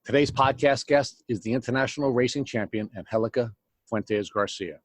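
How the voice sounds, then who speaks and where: A man hosting a podcast, reading a formal introduction and then asking interview questions in a measured way. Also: mid-range voice, no room sound. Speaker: podcast host.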